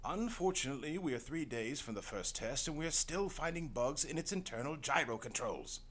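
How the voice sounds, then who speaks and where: A man playing a cheerful, fussy older gentleman scientist in a comic audio drama, formal and theatrical. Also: fairly high pitch, lively intonation, a little fast. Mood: proudly excited.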